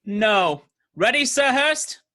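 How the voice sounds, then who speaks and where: A tyrannical sheriff in a radio drama. The delivery is slow and righteous, with wide swings in pitch. He sounds pompous and menacing, with a sharp, forceful edge. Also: sing-song, heavily acted. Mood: commanding, threatening. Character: cruel, menacing male sheriff, righteous law-and-order tyrant. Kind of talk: radio play acting.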